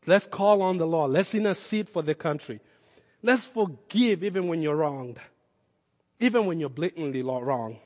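A Kenyan-accented male pastor preaching in a high-pitched voice, pressing hard on his points and then dropping low and close. His words ring out with a long reverb from the church hall around him. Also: animated, normal speed. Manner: impassioned preaching, rising loud then dropping low and intimate. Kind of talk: sermon.

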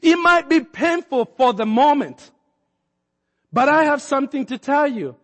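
A man from Kenya preaches in a high, ringing voice. His sermon climbs to forceful emphatic peaks, and he acts out the people in his stories. The sound carries a long hall reverb around him. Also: expressive, unhurried. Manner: preaching with rising emphatic peaks and acted-out quotes. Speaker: pastor.

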